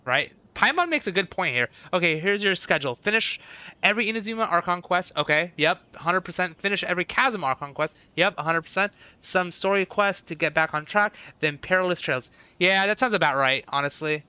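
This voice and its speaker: Very bright-voiced man streaming casually. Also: high-pitched, expressive, fairly quick, clear, resonant tone, no room sound, muffled recording. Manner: repeats short phrases, thinks aloud. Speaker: live game streamer. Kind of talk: casual live stream commentary, chatting with viewers.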